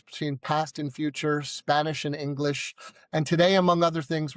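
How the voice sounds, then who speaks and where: A man's voice: fairly high pitch, no echo, studio quality. A man hosting a podcast, introducing his guest and asking questions with an upbeat, emphatic delivery. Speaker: podcast host.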